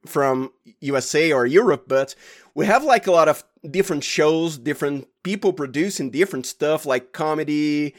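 A man's voice: a little high, expressive, moderate pace. A man from Brazil with a Brazilian accent, a native Portuguese speaker, talking casually as an interview guest and often restarting his phrases. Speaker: guest, podcast producer and sound designer.

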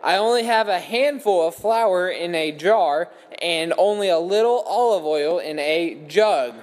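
A man's voice: high-pitched, a little slow. A man reading a Bible story aloud from a script as a dramatic narrative. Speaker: scripture reader. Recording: echoey hall with long reverb.

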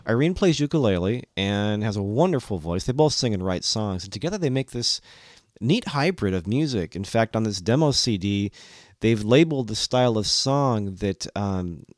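A man hosting his own music podcast while hoarse from a cold, chatting casually and rambling with frequent restarts. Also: fairly low pitch, lively intonation, moderate pace, dry recording, clean studio sound. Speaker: podcast host. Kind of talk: casual solo podcast talk.

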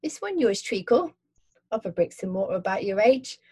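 A woman's voice: mid-range voice, very animated, moderate pace, a little mellow, breathy edge. A woman doing a chummy Cockney cabbie. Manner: chummy rhyming slang. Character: cheeky Cockney cabbie, middle-aged man.

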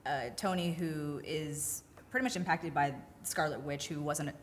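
Low-voiced woman, casual podcast co-host. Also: moderate pace, a little hiss or hum. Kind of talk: casual film discussion and plot recap.

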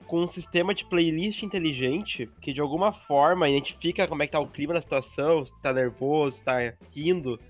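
A man joining a casual podcast as guest, talking excitably with stammering restarts. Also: fairly high pitch, a little fast, few pauses, highs cut off, faint music behind. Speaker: podcast guest, game master.

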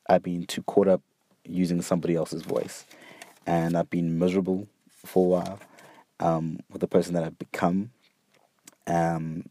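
A man's voice: low voice, dark tone, no echo, studio quality. A young man hosting his own podcast, talking earnestly and hesitantly, stumbling over repeated words and fillers. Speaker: podcast host. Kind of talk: reflective solo podcast monologue.

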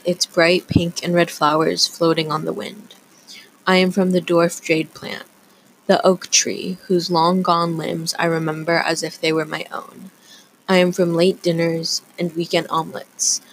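A teenage girl reading her own poem in a measured, even way. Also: lowish voice, slight background noise, low-quality recording. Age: teens. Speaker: student.

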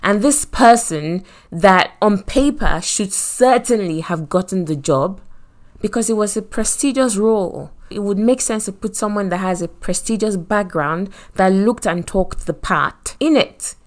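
Nigerian woman, host of a faith podcast, earnest motivational delivery, mid-pitched. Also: animated, unhurried. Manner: earnest, preacherly, acts out quoted voices.

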